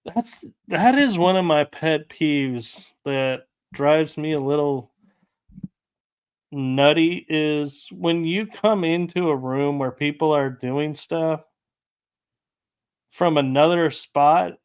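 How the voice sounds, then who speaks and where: A man's voice: a little high, takes it slowly, clear, resonant tone. A man hosting a casual interview, telling rambling anecdotes with light laughs. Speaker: podcast host.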